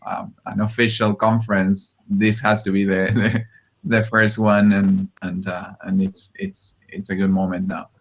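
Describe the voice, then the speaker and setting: A man's voice: lowish voice, a bit bright, poor audio. A man talking casually on a video call, hesitant and often repeating words as he starts again. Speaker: conference organizer. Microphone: computer mic on a video call.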